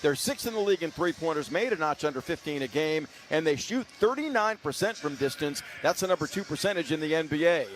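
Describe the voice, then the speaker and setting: A man's voice: fairly high pitch, lively intonation, fast, few pauses, a little hiss or hum. A man hosting sports radio, delivering fluent, formal announcer commentary and wordy questions. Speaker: sports radio host and interviewer.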